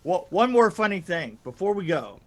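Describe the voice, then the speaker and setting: An older man telling anecdotes casually in a rambling, halting way, chuckling as he goes. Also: high voice, sing-song, a little mellow. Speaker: podcast storyteller guest. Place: indoor room on a video call.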